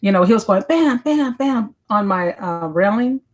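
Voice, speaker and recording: A woman in her fifties chatting casually as a panelist, telling personal stories in a folksy, halting way with repeated phrases. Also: mid-range voice, animated. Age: 50s. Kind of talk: casual conversation, personal storytelling.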